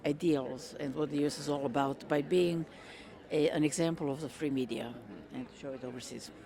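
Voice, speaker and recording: Woman from Croatia, measured speech. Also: low-pitched, fairly even intonation, mellow. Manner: measured, frequent ums and uhs. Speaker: interviewee, retired federal worker. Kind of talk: conversational interview answers. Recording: crowd chatter behind. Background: crowd chatter.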